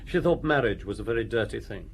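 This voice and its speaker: An actor playing an aloof, drily mocking gentleman in a radio drama, with curt, evasive answers. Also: fairly low pitch, big pitch swings, fast talker, vocal fry, a little hiss or hum. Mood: dry.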